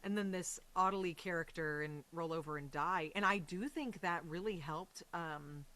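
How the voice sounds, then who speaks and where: A woman's voice: on the low side, normal speed, pushed and bright. A woman talking casually as an interview guest on a podcast, effusive and chatty, with slangy quoted asides.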